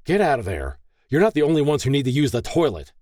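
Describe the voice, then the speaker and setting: A man's voice: mid-range voice, big pitch swings, quick pace, no room sound, voice pushed up, natural voice. Cruel, volatile husband, played by a male narrator, audiobook drama. Raised, growling delivery, very dry recording. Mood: angry, menacing. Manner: shouting, growling, contemptuous. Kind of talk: audiobook character acting.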